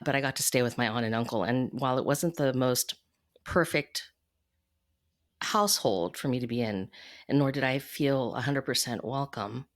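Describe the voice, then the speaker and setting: Low-key woman telling her story candidly. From United States. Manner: candid, measured, thoughtful. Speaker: podcast guest, financial coach. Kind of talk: reflective personal storytelling in an interview.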